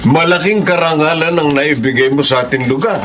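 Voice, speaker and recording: A man's voice: on the high side, fairly quick, noisy background, natural voice. Man acting proud, hearty Filipino father. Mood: proud, delighted. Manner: hearty, affectionate. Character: proud, fond, jolly father. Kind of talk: Philippine radio drama acting. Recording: loud music behind the voice.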